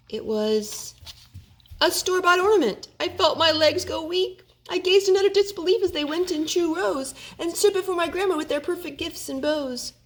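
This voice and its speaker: A woman hosting storytime for children, reading in an expressive storybook style. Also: sits high. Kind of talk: expressive rhyming storybook narration. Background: music.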